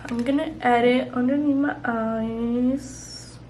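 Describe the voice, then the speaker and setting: A woman's voice: fairly high pitch, fairly even intonation, slow, a little mellow, a little hiss or hum. A young woman vlogger chatting casually while doing her makeup, rambling with lots of "like" and "you know". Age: young adult. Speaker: beauty vlogger.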